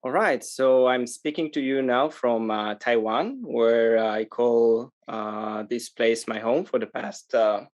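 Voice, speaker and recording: A man from Israel speaking as a podcast interview guest, conversational, often pausing mid-phrase as he explains. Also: mid-range voice. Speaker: interview guest, sustainable tourism organization manager.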